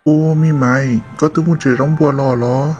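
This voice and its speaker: A man voicing a male character in an amateur animated cartoon drama. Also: medium pitch, a little fast, highs cut off, soft background music, close to an everyday voice. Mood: conversational. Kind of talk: hobby animated cartoon dialogue.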